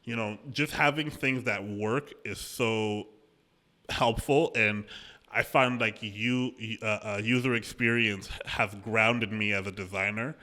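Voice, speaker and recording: Bright-voiced man hosting a casual talk. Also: on the low side, slow pace. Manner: relaxed, storytelling, gestures while talking. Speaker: podcast host. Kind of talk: casual conversational interview. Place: small office room indoors. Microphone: studio microphone close up.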